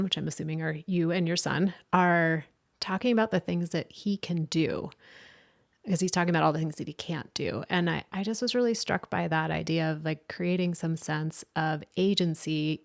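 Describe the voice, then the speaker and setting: Lady host, very low voice, gravelly fry, thoughtful questions. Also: moderate pace. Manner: reflective, thoughtful follow-up questions. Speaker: podcast host. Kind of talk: interview conversation.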